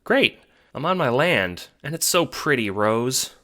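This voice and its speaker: A man voicing an eager, wide-eyed young boy adventurer who talks casually and excitably in an audio drama. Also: medium pitch, a little slow, noisy background, voice pushed up. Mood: excited.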